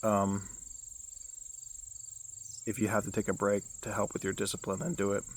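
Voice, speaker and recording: A young man with a low baritone voice, giving a casual self-help vlog outdoors, rambling with many restarts and fillers. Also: completely flat delivery, normal speed. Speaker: vlogger.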